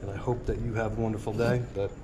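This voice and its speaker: A man's voice: on the low side, little pitch movement, quick pace. A middle-aged man talking to camera on an outdoor porch by a marina, earnest and rambling as he muses on life and urges viewers to stop worrying. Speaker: sailing vlogger. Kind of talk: casual reflective monologue. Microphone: action camera on a tripod a few feet away. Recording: outdoor ambience noise. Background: outdoor ambience.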